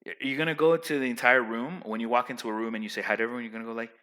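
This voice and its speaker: Loud, emphatic young man giving advice. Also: medium pitch, steady tone, very fast. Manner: loud, emphatic, rambling with restarts. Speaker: vlogger giving social advice. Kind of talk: casual advice monologue.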